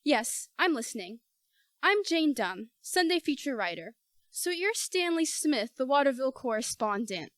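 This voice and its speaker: A woman's voice: high-pitched, very animated, normal speed, dry recording, studio quality. A teenage girl playing a brash, wisecracking woman reporter with a sarcastic, slangy delivery in an amateur radio play. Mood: sarcastic, impatient.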